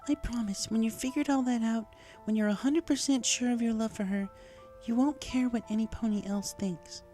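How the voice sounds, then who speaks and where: A woman voicing a calm, soft-spoken mare pony who speaks earnestly and with measured care in a story. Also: on the high side, voice pushed up, natural voice. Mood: gently advising. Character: calm, gently cautioning mare pony recovering from injury. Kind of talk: fan fiction character dialogue. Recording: music behind the voice.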